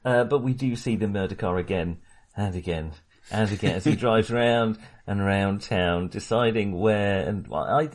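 A man's voice: fairly low pitch, moderate pace. A man co-hosting a podcast, talking casually and hesitantly as he discusses films. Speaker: film discussion podcast co-host.

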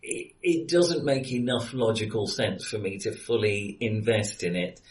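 A man's voice: lowish voice, unhurried. Hesitant man in an echoey room. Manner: hesitant, self-correcting. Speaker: film podcast host. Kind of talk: casual film discussion. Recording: roomy, echoey sound.

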